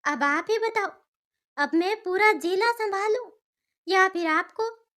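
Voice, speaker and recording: Very high-pitched, bossy young cartoon daughter, played by a woman. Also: slow, fairly bright tone, heavily acted. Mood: fond scolding. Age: young adult. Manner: scolding, caring. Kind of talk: cartoon story dialogue.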